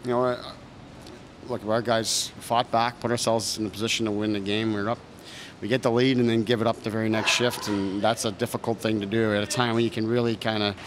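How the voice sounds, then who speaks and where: A low-voiced man in his thirties speaks as a hockey coach at a press conference, his pitch staying flat and even. He answers in a measured, thoughtful way, full of fillers and restarts. Reporters' mics sit close in front of him, with a steady noise floor behind. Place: press room in front of a sponsor backdrop. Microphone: several reporters' handheld mics close in front.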